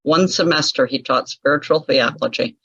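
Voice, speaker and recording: A woman with a husky, slightly breathy voice tells her story in a relaxed interview, laughing often as she goes. The sound is dry and close, with a dull, lo-fi podcast quality. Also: very deep voice, moderate pace, no room sound. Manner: chatty, laughs often, lively retelling of her own past. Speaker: interview guest, author.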